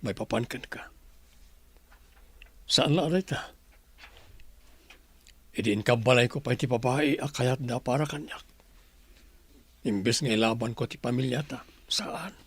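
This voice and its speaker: A husky, pleading estranged husband. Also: male voice, medium pitch, unhurried, pauses often, a bit bright, no room sound. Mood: pleading, remorseful. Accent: Ilocano. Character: remorseful, pleading estranged husband, adult man. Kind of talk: Filipino radio drama acting.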